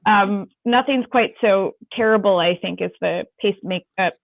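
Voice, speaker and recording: A woman's voice: medium pitch, normal speed, gravelly, no room sound, narrow, tinny audio. A woman panelist chatting casually in a rambling, self-deprecating way. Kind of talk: casual chat.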